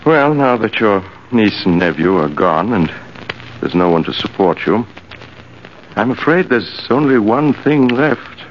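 Grave, formal small-town judge, low register, old-time radio drama, faint noise. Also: male voice, sing-song, measured pace. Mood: sombre. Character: grave, courteous male small-town judge bearing bad news. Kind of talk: 1940s radio acting. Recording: dull, hissy old radio recording.

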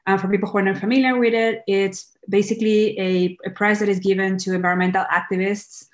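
A woman's voice: medium pitch, level tone, fast talker. Earnest woman film director. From Peru. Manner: earnest, restarts words, thinks aloud. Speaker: documentary film director, guest. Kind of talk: podcast interview.

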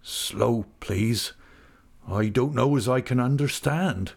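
Man playing a shattered, halting old rustic fisherman. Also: big pitch swings, creaky, slightly rough, full character voice. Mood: stunned. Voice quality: low, shivering. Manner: slow, halting, repeating words. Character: shattered older uncle, humble rustic fisherman, stunned with grief.

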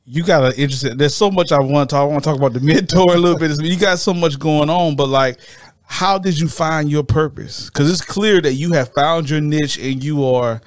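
A man hosting a podcast interview in a relaxed, casual way, often calling his guest "man" and "bro". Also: on the high side, fairly quick, no echo. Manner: laid-back, says "man" and "bro" often. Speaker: podcast host.

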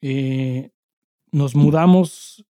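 A man's voice: medium pitch, extremely slow, warm tone, no echo, dull audio. A Mexican man co-hosting a podcast in casual chat, swearing freely and speaking with emphasis in heavy slang. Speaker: podcast co-host.

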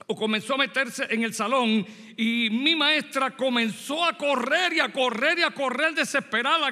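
A man's voice: extremely high pitch, sharp tone. Man, Puerto Rican accent, 60s, preacher, long church reverb. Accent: Puerto Rican Spanish. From Puerto Rico. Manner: addresses the congregation directly, with rhetorical questions. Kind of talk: sermon with personal story.

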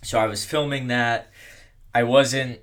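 A young man telling his own story in a casual, rambling way, with a monotone delivery and lots of fillers. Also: mid-range voice, unhurried. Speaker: content creator.

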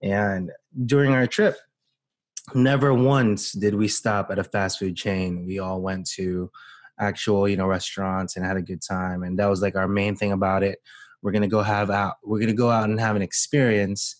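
A man's voice: on the low side, level tone, moderate pace. A man from the Philippines, talking casually on a podcast, rambling with frequent restarts. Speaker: podcast guest. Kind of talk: casual chat.

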